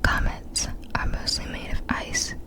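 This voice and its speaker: A woman narrates facts in ASMR style, entirely in a breathy whisper. She goes very slowly and deliberately, leaving gentle pauses between short phrases. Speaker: ASMR creator.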